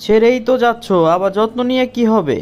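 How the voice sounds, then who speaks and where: Very high-voiced pleading young boyfriend voiced by a man. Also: fast, darkish tone, muffled recording. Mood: hurt. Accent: Bangladeshi. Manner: plaintive, pleading. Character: young jilted boyfriend, pleading, petty, mournful. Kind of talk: cartoon comedy dialogue.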